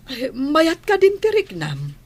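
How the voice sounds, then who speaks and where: A woman's voice: high-pitched, huge pitch swings, slow pace, a little mellow, full character voice. A woman acting in a radio drama as a devoted, doting household nanny.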